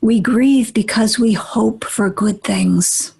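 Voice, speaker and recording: A woman leading a guided meditation in a gentle, deliberate manner, with long pauses and a breathy, husky voice that often nears a whisper. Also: on the high side.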